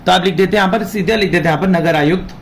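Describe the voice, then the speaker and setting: A man's voice: high voice, rapid-fire, creaky, a little hiss or hum. A man with a sore throat, teaching an informal exam lesson, explaining and repeating key points. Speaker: law teacher running an online class. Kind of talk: informal explanatory exam lesson, Hindi mixed with English terms.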